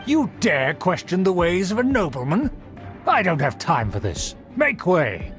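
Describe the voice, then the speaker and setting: A man's voice: sits high, huge pitch swings, unhurried, fairly bright tone, heavily acted. An actor playing a proud, pompous nobleman in a video game, formal and haughty. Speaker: game character. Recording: game audio with music behind.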